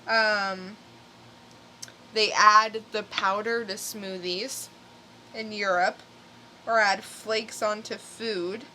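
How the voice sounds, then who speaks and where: A woman's voice: medium pitch, fairly even intonation, extremely slow, pauses often, sharp tone, slight background noise, rough recording. A woman co-hosting a podcast, chatting casually as she shares stories. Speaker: podcast co-host. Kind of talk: casual chat, telling news stories.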